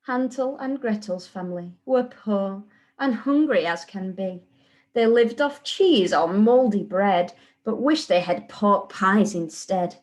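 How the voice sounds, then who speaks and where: A woman reading a rhyming children's story in a deliberate, sing-song way, as a teacher would at story time. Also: mid-range voice, animated.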